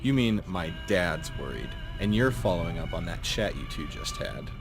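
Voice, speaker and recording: Music behind, man acting as young male vault student, game dialogue, animated, fairly low, casual, slangy, prickly. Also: moderate pace. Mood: irritated.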